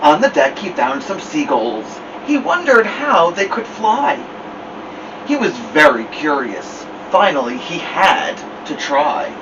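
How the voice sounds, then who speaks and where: A man reading a children's picture book aloud as a storytime host, theatrically, with drawn-out words and dramatic pauses. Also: a little high, very animated. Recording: distant mic, dull sound, noisy background hum.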